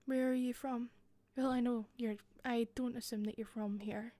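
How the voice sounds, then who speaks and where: A woman with a softened Scottish accent playing an attentive hostess in a roleplay, speaking softly into a close mic with frequent giggles and whispers. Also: fairly high pitch, steady tone, normal speed, no echo. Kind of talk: listener-directed roleplay.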